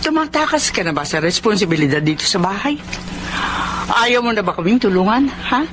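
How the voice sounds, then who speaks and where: A woman's voice: mid-range voice, lively intonation, brisk, natural voice. A woman playing a stern, demanding middle-aged mother in a Filipino drama, curt and reproachful, with a Filipino accent. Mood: angry, reproachful. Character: stern, demanding mother guarding her son's support. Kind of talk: Filipino broadcast drama acting. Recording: clearly noisy, background ambience.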